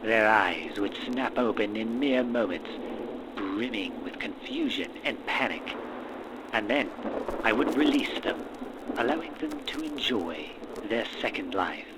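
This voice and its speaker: A man playing a manic, ambitious mad scientist in a gothic horror audio roleplay, speaking in florid, formal, theatrical phrases with dramatic pauses. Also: fairly low pitch. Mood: proud, fascinated. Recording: steady rain and thunderstorm noise behind. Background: rain and thunderstorm ambience.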